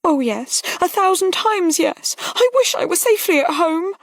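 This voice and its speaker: A woman's voice: extremely high pitch, lively intonation, normal speed, dry recording, studio quality, pitched above the performer's own voice. A woman reading an audiobook, voicing a fragile young noblewoman who talks in a breathless, tremulous, pleading way. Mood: plaintive. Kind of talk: audiobook character voice.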